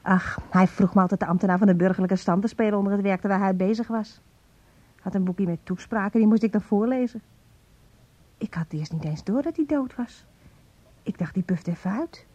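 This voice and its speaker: A woman's voice: fairly quick, darkish tone, lo-fi sound, natural voice. An actress playing a chatty, unabashed prostitute in a Dutch radio drama, telling her tale in a gossipy way. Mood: matter-of-fact. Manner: gossipy, matter-of-fact, breaking into shrill quoted cries.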